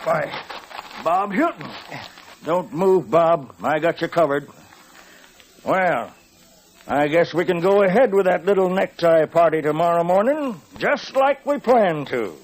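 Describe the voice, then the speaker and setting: A man's voice: a little high, animated, measured pace. A man playing a suspicious, blustering sheriff of the Old West in a radio drama, calling out challenges. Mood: gloating. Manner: shouting out challenges. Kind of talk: 1940s-50s radio Western acting. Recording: noisy old radio show recording.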